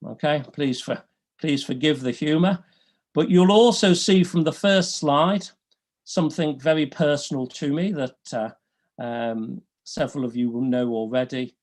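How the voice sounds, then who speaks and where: A man with a Liverpool accent giving an academic law lecture, with dry humour and a measured, deliberate delivery. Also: fairly high pitch. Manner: dry humour, deliberate, rises and falls a lot. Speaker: law professor.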